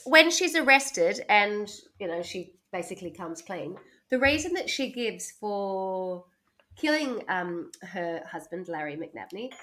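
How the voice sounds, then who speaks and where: A bright, crisp-sounding woman walks her friends through a true crime story. She talks in a chatty, relaxed way, laughs easily and now and then checks her notes. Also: medium pitch, measured pace. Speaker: podcast host telling a true crime story. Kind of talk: casual chat, storytelling.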